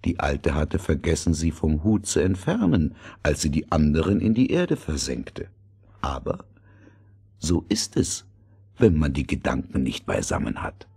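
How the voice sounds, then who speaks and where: A man with a husky, breathy voice narrating a scripted fairy tale. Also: extremely low pitch, big pitch swings, a little slow, a little mellow.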